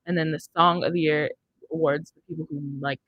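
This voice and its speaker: A woman's voice: sits low, fairly even intonation, brisk, highs cut off, slight background noise. A young adult woman hosting a podcast, chatting casually into a close studio microphone. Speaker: podcast host. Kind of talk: casual chat. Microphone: close studio mic on boom arm.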